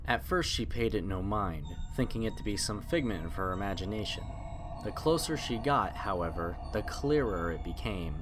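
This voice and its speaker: Male narrator for a horror tale, deepish voice, dramatic and deliberate, over clear background music. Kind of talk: scripted horror story narration.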